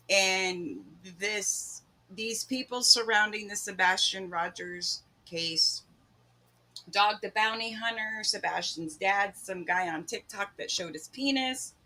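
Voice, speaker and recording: Bright-voiced woman in blunt casual chat. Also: mid-range voice, low-quality recording.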